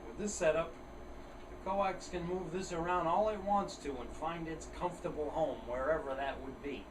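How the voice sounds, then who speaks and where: A man's voice: fairly high pitch, steady tone, normal speed. Guy chatting through his gear off the cuff, plain talker, echoey room, ac running behind him. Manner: unscripted, plain-spoken, thinking aloud. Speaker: hobbyist video host showing gear. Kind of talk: casual show-and-tell chat. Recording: roomy, distant mic, air conditioner noise. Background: air conditioner running.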